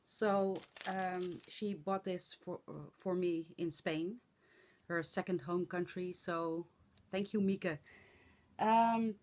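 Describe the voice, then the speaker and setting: Middle-aged Dutch vlogger, hoarse, slow and halting with lots of ums, low-ish voice. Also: female voice, darkish tone. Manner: rambling, halting with many fillers. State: hoarse from laughing and talking. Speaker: flosstube vlogger. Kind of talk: casual chat to camera.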